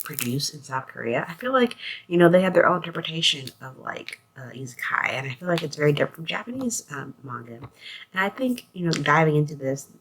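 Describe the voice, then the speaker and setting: A woman's voice: low-pitched, slight background noise. A woman giving a casual, conversational review as a host, in loose phrasing peppered with filler phrases. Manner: conversational, loose phrasing, often saying "like" and "you know". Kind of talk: casual spoken review with a scripted synopsis read at the start.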